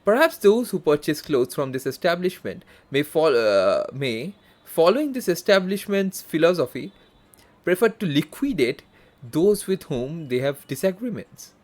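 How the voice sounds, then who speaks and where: A man live streaming a game, reading text aloud haltingly with self-corrections and casual asides. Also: on the high side, sing-song. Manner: halting, stumbles and corrects himself while reading. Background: faint game music.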